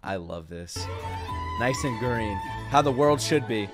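A man doing casual live-stream reaction commentary to game trailers, excitable, slangy and profane. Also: lowish voice, measured pace. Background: game trailer music.

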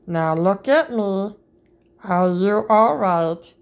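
A woman reading a picture book aloud to children in a slow, sing-song manner, jumping up high on the playful sound words. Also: expressive. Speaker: storybook reader.

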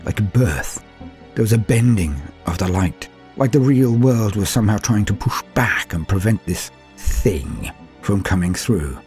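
A man reading a dark first-person horror story, grave and measured, dropping to hushed lows and swelling on the big moments. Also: animated. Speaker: narrator. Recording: soft music bed underneath.

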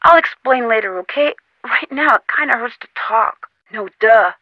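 A woman's voice: fairly high pitch, animated, normal speed, no echo, highs cut off. An actress voicing a young woman friend in a video game, chatty and encouraging. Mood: sore. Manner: chatty, encouraging, over the phone. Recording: dry studio voice-over.

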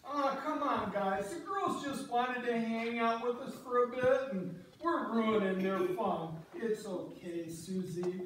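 A woman plays a kindly fishing companion in a stage play, easygoing in manner. The voice is high. It comes from far off in a very echoey hall with faint noise. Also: unhurried, hardly any pauses, close to an everyday voice. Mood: reassuring. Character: kindly, easygoing fishing buddy soothing others. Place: church sanctuary, live stage reading. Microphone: distant audience camera.

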